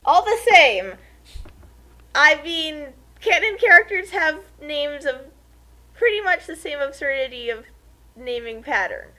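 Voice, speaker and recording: A woman's voice: high-pitched, lively intonation, measured pace, bright, forceful tone, low-quality recording. A woman doing casual comedic commentary in an emphatic, incredulous manner.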